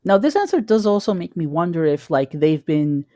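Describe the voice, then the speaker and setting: A man co-hosting a podcast, speaking casually in a measured, explanatory way as he analyses and asks questions. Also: on the high side, sing-song, dark tone, no echo, studio quality. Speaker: podcast co-host.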